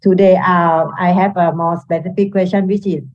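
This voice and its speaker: A woman from Singapore asking a question as a meditation student, halting and searching for her words. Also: fairly low pitch, steady tone. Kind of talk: question in a live online session. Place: video call. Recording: dull video call audio.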